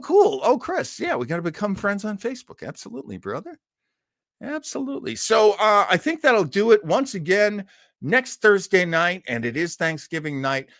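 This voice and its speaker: A man's voice: mid-range voice, fairly bright tone, dry recording, clean studio sound. A man hosting a casual internet livestream, chatting and announcing things in a rambling, jokey way. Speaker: host of an internet show. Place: small garage office at home.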